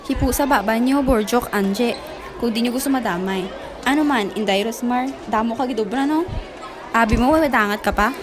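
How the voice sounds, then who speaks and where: Schoolgirl bully played by a woman, bossy, high voice, Filipino accent, radio drama over clear crowd chatter. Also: normal speed, lightly acted. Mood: sneering, taunting. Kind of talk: student radio drama acting.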